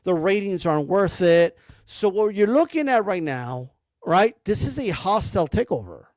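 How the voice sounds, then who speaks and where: High-voiced man ranting about boxing. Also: big pitch swings, moderate pace, darkish tone, dry recording. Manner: rambling, blunt, peppered with slang and cursing. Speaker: boxing commentary streamer. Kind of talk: casual ranting commentary.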